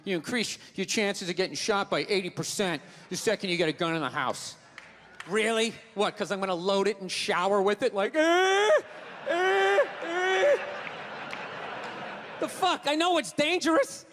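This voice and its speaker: A man's voice: high voice, animated, normal speed, fairly bright tone. An American man performing stand-up comedy in a sarcastic, incredulous manner, recorded live in a reverberant space. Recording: reverberant live hall with faint noise.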